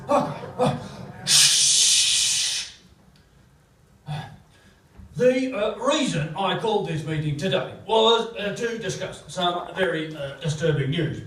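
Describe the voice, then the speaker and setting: An actor playing a flustered police chief who stammers and hesitates, in a staged comedy radio play. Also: male voice, high-pitched, lively intonation, pushed and bright, exaggerated voice. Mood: exasperated. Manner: stammering, halting, full of uhs. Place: theatre stage, picked up from afar. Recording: echoey theatre, distant microphone.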